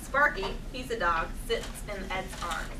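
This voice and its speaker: A woman's voice: medium pitch, expressive, moderate pace. A woman narrating the stage directions at a comedy script table read. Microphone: far camera. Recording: distant stage recording with background noise.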